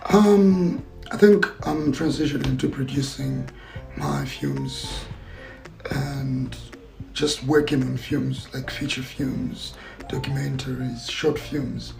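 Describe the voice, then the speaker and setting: A man answers interview questions in a deeply gravelly, creaky voice at an ordinary pitch for a man. He talks in a reflective way, feeling for his words and going back over them. Faint music plays underneath. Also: fairly even intonation, slow, darkish tone, rough recording. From Zambia. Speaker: actor and filmmaker guest.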